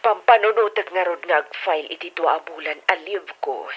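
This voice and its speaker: A woman's voice: on the low side, a little fast, husky, dull audio. A woman acting in an Ilocano audio drama as a concerned, middle-aged sister giving advice, heard through a telephone effect. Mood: concerned, advising. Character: concerned, advising older sister calling from abroad.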